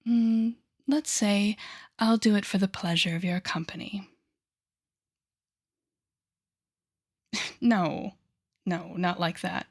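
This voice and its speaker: A woman playing a haughty, sardonic telepathic villain with a hidden soft side, speaking straight to the listener in an audio roleplay. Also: mid-range voice, steady tone, lightly acted. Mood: teasing, wistful. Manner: sardonic, theatrical, teasing, with sighs and deliberate pauses. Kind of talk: intimate audio roleplay acting addressed to the listener.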